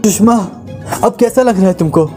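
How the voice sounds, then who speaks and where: Man acting a high-pitched earnest husband in need. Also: moderate pace, darkish tone, soft background music, natural voice. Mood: earnest, grateful. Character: wealthy grateful husband in distress, pleading for help. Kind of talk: Hindi moral story voice acting.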